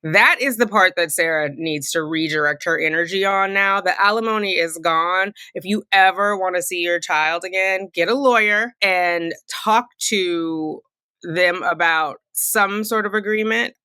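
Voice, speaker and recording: A woman's voice: fairly low pitch, unhurried, bright, forceful tone, clear, resonant tone, no room sound. A middle-aged woman from California giving casual, opinionated commentary in a blunt, chiding, colloquial way. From Fresno, California. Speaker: commentary channel host.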